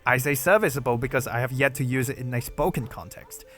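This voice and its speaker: A young man from China with a Chinese accent, a Mandarin native speaker, reading out a Q&A video in a measured, careful way. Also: mid-range voice, a bit bright, faint music behind. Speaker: gaming YouTuber. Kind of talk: read Q&A video narration.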